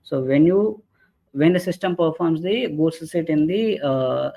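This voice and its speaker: A man presenting an explanatory software training webinar in a halting way, often checking in with short tag questions. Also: a little high, vocal fry. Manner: halting, repeatedly checks with "right?" and "okay". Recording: dull lo-fi webinar audio.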